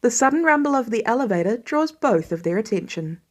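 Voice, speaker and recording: A woman reading fan fiction aloud as an expressive audiobook-style narrator. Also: on the high side, fairly quick. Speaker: podfic reader.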